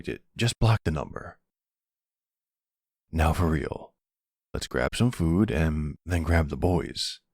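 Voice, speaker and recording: A man playing a middle-aged mafia boss and devoted stepfather in an intimate roleplay audio drama, with a husky, gravelly voice and an affectionate, wry way of talking. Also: extremely low pitch, dry recording, clean studio sound, exaggerated voice. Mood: wry, exasperated.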